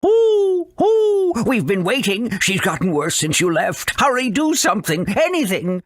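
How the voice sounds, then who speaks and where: Wary old owl for a video game, draggy delivery with big swoops, hooty and worried. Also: male voice, sits high, big pitch swings, a little slow, no room sound, full character voice. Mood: anxious, pleading. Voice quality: hooting cries. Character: anxious old talking owl, wary guardian of a sick girl. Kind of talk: video game character acting.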